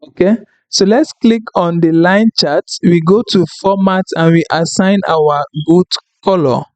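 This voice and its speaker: A man whose first language is French, talking through a screen-recorded software tutorial in an unhurried, apologetic way, thinking aloud with fillers. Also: high-pitched, dry recording. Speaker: tutorial instructor.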